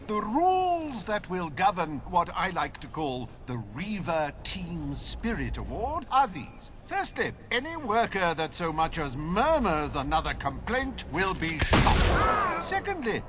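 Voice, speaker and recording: Foppish British villain, high voice, slow mocking drawl, gleefully cruel, video game. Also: male voice, noisy background, exaggerated voice. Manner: theatrical, mocking, languid drawl. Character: gleefully cruel, dandyish male factory tyrant. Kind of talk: video game cutscene acting.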